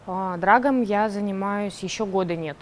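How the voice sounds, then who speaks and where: A woman's voice: mid-range voice, normal speed, a little hiss or hum. A twenty-four-year-old woman from Kazakhstan, a drag performer, telling an anecdote animatedly in a documentary interview.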